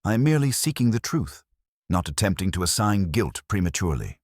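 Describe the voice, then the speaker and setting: A man reading the part of a calm, courteous male police inspector in a mystery story, polite, firm and formal. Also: sits low, a little fast, no echo, studio quality, pitched above the performer's own voice. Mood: courteous, mild. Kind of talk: acted dialogue in a narrated mystery story.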